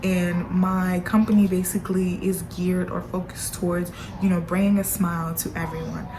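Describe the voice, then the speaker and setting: A woman's voice: fairly low pitch, flat delivery, moderate pace. A woman vlogger giving a casual, playful update straight to camera, full of asides to her viewers. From Haitian family background. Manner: chatty, playful, lots of y'all and baby girl asides. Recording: audible background noise.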